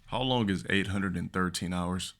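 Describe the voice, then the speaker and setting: A man with a very low voice reacts to clips on his stream. His tone is gravelly and rough with fry. He talks bluntly, swears freely and thinks out loud. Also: moderate pace. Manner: blunt, profane, thinking aloud. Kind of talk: casual reaction commentary.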